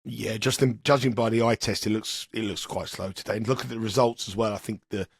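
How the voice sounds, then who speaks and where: Host, mid pitch, gravelly and a touch nasal from a cold, dry close sound. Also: male voice, fairly even intonation, brisk, dry recording. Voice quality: slightly sniffly. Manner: chatty, rambling asides. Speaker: podcast host. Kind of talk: casual betting chat.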